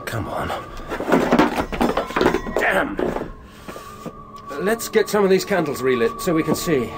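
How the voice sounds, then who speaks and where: An actor playing a sensible stranded man with a measured, dry, darkly wry manner in a radio drama. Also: fairly high pitch, sing-song, music in the background. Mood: reassuring. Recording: noisy old radio drama recording.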